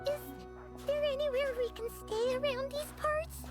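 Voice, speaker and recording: Tiny squeaky childlike fairy companion. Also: female voice, steady tone, measured pace, over loud music. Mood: curious, dismayed. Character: small cheerful childlike floating fairy companion, female. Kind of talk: video game character dialogue.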